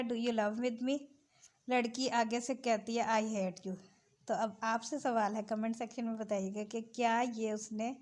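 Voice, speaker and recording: A woman's voice: on the high side, a little mellow. A woman with a Pakistani accent giving an explanatory lesson as a lecturer, reading out phrases and explaining them, mixing in English terms. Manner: mixes Urdu with English terms. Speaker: law lecturer.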